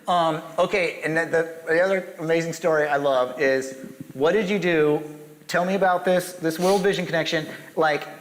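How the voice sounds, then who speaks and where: A man with a somewhat high voice interviews a guest with eager, prompting questions. His voice rings out in a large room, with a long reverb behind each phrase. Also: steady tone, moderate pace, slight background noise. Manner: enthusiastic, prompting, laughs along. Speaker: interviewer, church host. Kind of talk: casual interview. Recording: echoey hall with long reverb.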